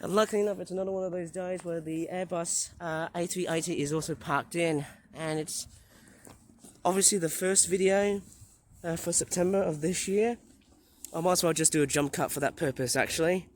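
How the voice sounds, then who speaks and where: A man, his voice a bit raised and croaky from the flu. He rambles on with many fillers and restarts. He is talking outdoors near an airport runway, into a handheld camera mic. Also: on the high side, brisk, a little hiss or hum. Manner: rambling, hesitant, filler-heavy. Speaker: plane-spotting vlogger. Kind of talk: casual running commentary.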